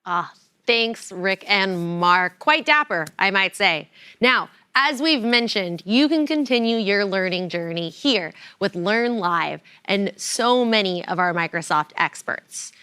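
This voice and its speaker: A woman's voice: mid-range voice, animated, slow pace, bright, forceful tone, clean tone, dry recording. A woman co-hosting a live tech event stream, bubbly and playful in her banter. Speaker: event co-host.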